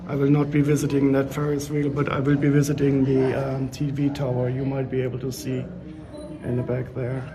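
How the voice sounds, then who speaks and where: A man with a gravelly, raspy voice talks in an even, fairly flat way, his throat a little sore. He chats casually with his viewers as he walks around an outdoor lookout. People chatter around him, picked up by a handheld phone. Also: mid-range voice, a little fast. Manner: chatty, answering viewers while touring. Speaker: livestreamer. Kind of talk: casual live travel stream chat. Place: outdoors on a tower lookout above a city.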